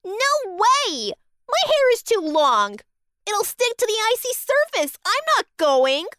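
Young girl fox, childlike, very high, animated, unhurried, bossy, storybook cartoon style. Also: no echo, studio quality, heavily acted. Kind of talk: children's bedtime story character acting.

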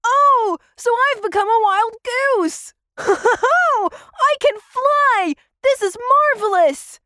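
Squeaky, wide-eyed little boy. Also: expressive, slow pace, pushed and bright, no room sound, clean studio sound, exaggerated voice. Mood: delighted. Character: excitable little boy adventurer. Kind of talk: children's audio story acting.

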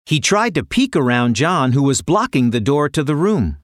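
Animated, higher-voiced male storyteller. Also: normal speed, no room sound, studio quality. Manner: dramatic, playful sound words. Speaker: storyteller, narrator. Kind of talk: animated children's fairy tale narration.

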